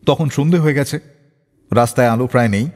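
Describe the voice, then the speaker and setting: A man hosting a radio show, telling stories dramatically and acting out the dialogue in an animated way. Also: mid-range voice, brisk. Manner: animated, acts out quoted dialogue. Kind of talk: dramatic radio storytelling between songs. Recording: long reverb on the voice.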